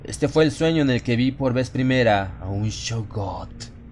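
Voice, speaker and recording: A man's voice: medium pitch, lively intonation, unhurried, darkish tone, slight background noise, lightly acted. A man playing a haunted narrator slipping into exalted, fanatical madness in a solemn, theatrical horror audiobook. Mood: brooding, confessional. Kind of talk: dramatic first-person horror audiobook narration.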